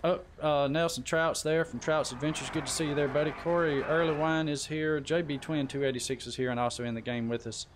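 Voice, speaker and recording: A man hosting a casual gaming livestream, chatting informally and giving shout-outs to viewers by name. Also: on the high side, fairly even intonation, a little fast, few pauses, a little hiss or hum. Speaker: live stream host.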